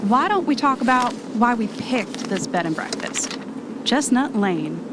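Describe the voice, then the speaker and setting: A woman's voice: expressive, a little slow. A woman playing an eager, bossy travel podcaster in an amateur audio drama, chatty and ready with an announcer's polish. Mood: upbeat, persuasive. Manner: chatty, switches into polished radio voice. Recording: noisy, vehicle rumble behind the voice.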